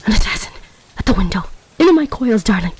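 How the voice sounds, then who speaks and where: A woman's voice: on the high side, sing-song, quick pace, background noise. A woman playing a regal, possessive, lovestruck lamia empress in a roleplay audio drama, speaking in formal, stately, dramatic phrases. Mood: alarmed, fierce.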